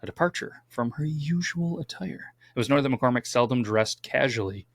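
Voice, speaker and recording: A man's voice: mid-range voice, lively intonation, normal speed, no echo, studio quality. A man co-hosting a casual true-crime podcast, reading from his notes with stumbles and wry asides. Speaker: podcast co-host.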